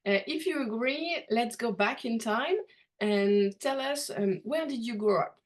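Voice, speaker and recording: A woman's voice: on the high side, unhurried. A woman hosting a podcast interview, asking questions in a friendly, hesitant way. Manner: friendly, hesitant, many fillers. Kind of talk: conversational interview.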